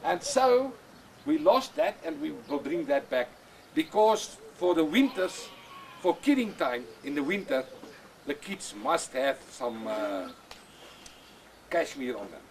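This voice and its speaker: An older man with an Afrikaans accent, whose first language is Afrikaans, talking plainly and repetitively in halting English as a goat breeder outdoors on a farm. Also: on the high side, sing-song, vocal fry, slight background noise. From South Africa. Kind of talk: casual explanation on a farm.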